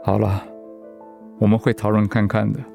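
A man's voice: fairly low pitch, monotone, normal speed, gravelly, darkish tone, faint music behind. A man acting in a radio drama as a humble, anguished pastor and devoted husband, speaking in a heartfelt, sighing way. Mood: resigned, grateful.

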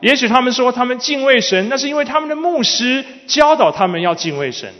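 A man with a high-pitched, very animated voice interprets a sermon phrase by phrase. He is recorded with long hall reverb that carries each line. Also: fast talker. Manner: follows the preacher phrase by phrase. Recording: dull, reverberant large hall sound.

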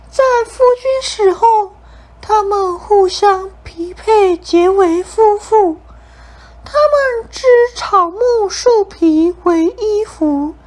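A woman acting a very high-voiced, dutiful young princess. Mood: solemn. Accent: Taiwanese Mandarin. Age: young adult. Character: dutiful, earnest young princess, the king's daughter. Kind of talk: student radio drama acting. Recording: music bed behind voice.

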